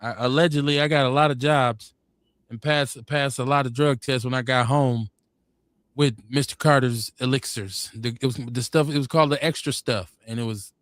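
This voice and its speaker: A man in his forties telling stories in casual chat, rambling and laid-back, getting animated when quoting others. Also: medium pitch, normal speed, no room sound. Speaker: podcast host.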